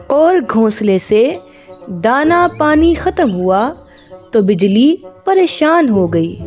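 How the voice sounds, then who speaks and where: A woman's voice: a little high, darkish tone, dull audio. A woman narrating a scripted children's cartoon story as a storyteller. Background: music.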